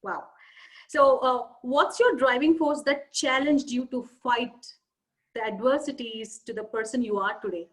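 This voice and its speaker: A woman's voice: on the high side, measured pace, rough recording. A woman hosting an interview over a video call, affirming often and summing up her guest's points. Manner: frequent short affirmations, sums up guest's points. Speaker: interview host. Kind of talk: friendly interview questions.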